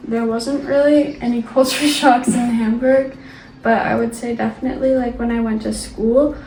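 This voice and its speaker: Soft-spoken young American woman. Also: fairly high pitch, steady tone, moderate pace, a little hiss or hum. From Pennsylvania. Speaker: exchange student. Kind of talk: casual chat. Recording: echoey room, distant mic, background music.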